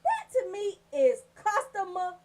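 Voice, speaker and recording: A woman's voice: extremely high pitch, wildly swooping pitch, measured pace, darkish tone. A woman doing a casual, comedic rant as a radio host, in a brash, profane, storytelling way.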